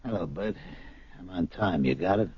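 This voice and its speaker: An actor playing a blunt, streetwise racketeer pressing for money in a 1970s radio drama. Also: male voice, deep voice, normal speed, breathy edge. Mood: pressing, tough. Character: tough male racketeer demanding his payoff. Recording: dull lo-fi old radio recording.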